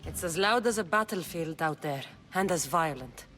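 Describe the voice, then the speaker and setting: An actress voicing a fierce, defiant Greek mercenary heroine in a video game, speaking firmly and intensely. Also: female voice, deep voice, animated, normal speed, a bit bright, soft background music. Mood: earnest. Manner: firm, intense, determined. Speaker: game protagonist. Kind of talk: video game cutscene acting.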